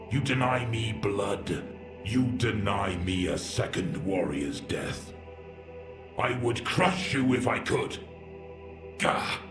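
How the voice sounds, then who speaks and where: A man playing an ancient, bitter warrior entombed in a war machine, speaking in grand, archaic, rambling monologue for a sci-fi audio drama. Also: on the low side. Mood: enraged. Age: older. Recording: echoing voice over background music.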